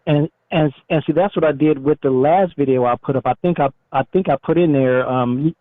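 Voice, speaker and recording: A man's voice: on the high side, a little fast, no room sound. A middle-aged American man giving a casual, easygoing interview over the phone, speaking informally. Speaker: interviewed motorcycle YouTuber, retired military. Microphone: phone call. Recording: muffled narrowband phone line.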